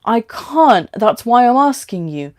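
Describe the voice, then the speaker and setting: A woman's voice: a little high, very animated, slow pace, pitched above the performer's own voice. A woman reading a story aloud as a frightened, irritable, demanding female passenger, with a London British accent. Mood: upset, demanding. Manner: pleading, demanding.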